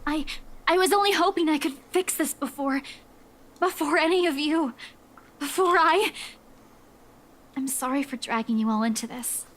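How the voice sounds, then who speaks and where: A woman's voice: sits high, normal speed, long pauses, a bit bright. A woman playing an anxious, guilt-ridden young woman in a visual novel, pleading and apologetic. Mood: remorseful.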